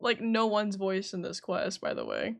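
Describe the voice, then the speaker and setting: Chatty woman livestreamer, soft music behind. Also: medium pitch, huge pitch swings, normal speed, vocal fry. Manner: chatty, informal, says "like" often. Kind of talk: casual stream chat and reading game dialogue aloud.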